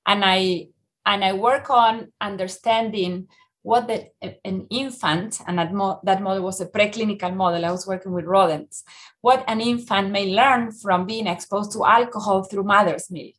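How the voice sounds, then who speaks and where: A woman's voice: medium pitch, fairly bright tone. A middle-aged woman from Argentina with an Argentine Spanish accent, a researcher talking in a podcast interview, often restarting and filling with "uh". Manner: halting, with many restarts and fillers. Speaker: researcher guest.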